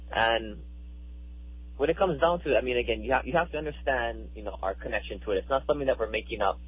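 A man's voice: medium pitch, slightly rough. Earnest man from Hawaii on a tinny line. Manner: earnest, emphatic, repeats words while building points. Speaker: activist guest. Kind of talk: radio interview.

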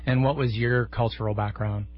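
A man's voice: mid-range voice, fairly even intonation, normal speed, lo-fi sound. A man hosting a radio interview, asking thoughtful questions in a conversational way with frequent filler words. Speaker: radio host.

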